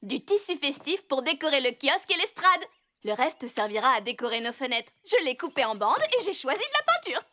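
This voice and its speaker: A woman's voice: high voice, big pitch swings, fairly quick, sharp tone, heavily acted. A woman playing a cheerful, tidy young fox girl in a children's cartoon, explaining things chirpily and politely reassuring. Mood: cheerful, instructive. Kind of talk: dubbed children's cartoon acting. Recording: music behind the voice.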